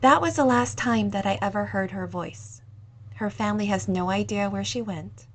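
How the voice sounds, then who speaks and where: A woman reading a short story aloud in a measured, gentle storytelling manner. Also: mid-range voice. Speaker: reader. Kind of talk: scripted narration of a short story.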